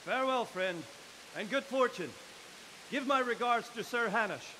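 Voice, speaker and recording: An actor playing a friendly, reassuring medieval castle lord in a video game cutscene. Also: male voice, extremely high pitch, moderate pace, pushed and bright, long reverb tail, slight background noise, poor audio. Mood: warm, reassuring.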